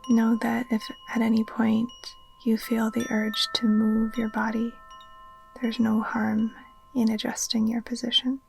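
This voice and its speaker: A woman gently guiding a relaxation meditation in a soft, breathy, near-whispered voice, soothing and unhurried. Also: on the high side, completely flat delivery, faint music behind. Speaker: yoga nidra teacher. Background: occasional chimes.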